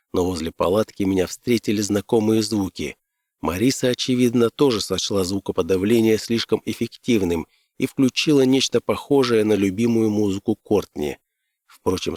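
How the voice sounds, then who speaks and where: Low-voiced male audiobook narrator, dry sound. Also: darkish tone, no room sound. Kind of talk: scripted first-person fiction narration.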